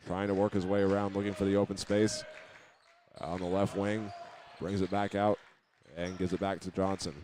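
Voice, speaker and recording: Low, even-toned American man calling basketball. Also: moderate pace, slight background noise. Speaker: play-by-play announcer. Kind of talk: live basketball radio commentary. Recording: clear gym ambience and ball bounces. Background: live basketball game in a high school gym.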